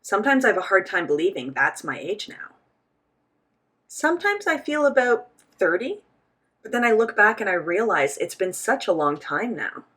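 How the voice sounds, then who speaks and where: Husky-voiced woman interpreting an interview. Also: mid-range voice, very animated, moderate pace, a bit bright. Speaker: voice-over interpreter for a signed interview. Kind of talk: voicing sign language interpretation for both host and guest.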